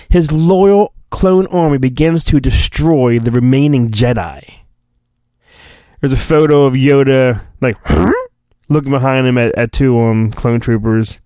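Theatrical man, animated pitch, slow delivery. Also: dry recording, clean studio sound. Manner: theatrical, hammy, irreverent. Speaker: podcast host. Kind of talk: mock-dramatic storybook reading with joking asides.

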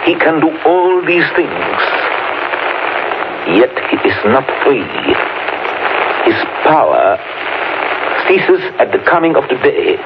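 Commanding older vampire-hunting professor, solemn oratory, 1930s radio horror, muffled recording, heavy noise. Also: male voice, a little high, very animated, exaggerated voice. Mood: grave, urgent. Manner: solemn, oratorical, deliberate phrasing. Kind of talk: 1930s radio drama acting.

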